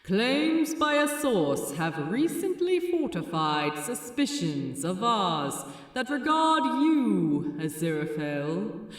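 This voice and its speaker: A woman voicing a cool, impatient seraph messenger of heaven, formal and commanding, with a soft lilt and dry mockery. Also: medium pitch, very echoey, in a large hall, distant mic.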